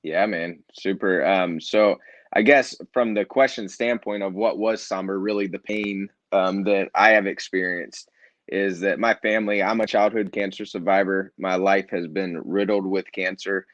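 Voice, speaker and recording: A middle-aged man, a nonprofit founder, sharing his story in a relaxed, earnest way with light self-deprecating humor. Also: sits low, normal speed, no echo, highs cut off. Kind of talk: casual interview storytelling.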